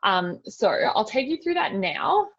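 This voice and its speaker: A woman's voice: a little high, sing-song, measured pace, breathy edge. An Australian woman giving an informal step-by-step online tutorial in a casual, chatty way. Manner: casual, chatty, frequent "like" and "super". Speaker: webinar presenter giving a demonstration. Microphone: video call. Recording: dull lo-fi video call audio.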